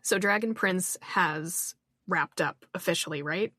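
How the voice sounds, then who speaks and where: A woman's voice: on the low side, pushed and bright, no room sound. Woman host, eager interviewer, dry close recording. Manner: enthusiastic, quick reactions. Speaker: podcast host. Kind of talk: casual podcast interview.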